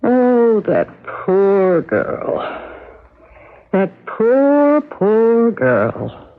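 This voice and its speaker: A woman's voice: medium pitch, very animated, very slow, a little hiss or hum, full character voice. A woman playing a fretful, protective farm mother in a radio drama, fussing as she speaks. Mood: worried, pitying. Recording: tinny vintage radio broadcast.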